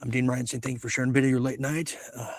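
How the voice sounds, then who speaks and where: A man hosting a late-night talk show in a casual, halting, musing way, his voice husky and starting to give out. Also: mid-range voice, flat delivery. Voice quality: husky, gravelly voice. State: losing his voice.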